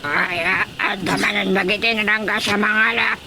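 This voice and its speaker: A man voicing a worried talking sea fish that complains haltingly in a comic radio drama. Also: sits high, fairly even intonation. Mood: worried, complaining. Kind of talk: radio drama comedy acting. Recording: clearly noisy recording.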